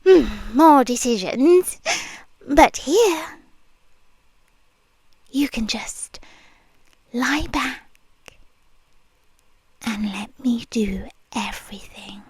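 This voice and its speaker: A woman's voice: a little high, slightly rough, no room sound. A woman playing a whispering possessive nurse. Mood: coaxing. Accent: British. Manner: slow, soft, intimate whispering close to the ear. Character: possessive female nurse, soft and controlling. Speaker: ASMR roleplay performer. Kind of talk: whispered ASMR roleplay.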